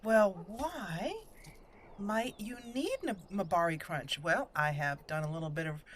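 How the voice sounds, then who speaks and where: A woman's voice: on the low side, unhurried, slight background noise. A woman hosting a gaming channel, chatting casually and animatedly as she plays and thinks aloud. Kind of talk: casual game commentary.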